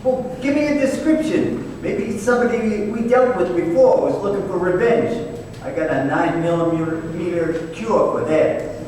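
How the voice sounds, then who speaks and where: Tough, wisecracking investigator sidekick acted by a man, highish voice, blunt and teasing, radio drama staged in a big reverberant hall. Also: unhurried, darkish tone. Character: blunt, wisecracking, tough male detective partner. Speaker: investigator partner. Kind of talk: old time radio drama performance. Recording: very echoey hall, distant mic, faint noise.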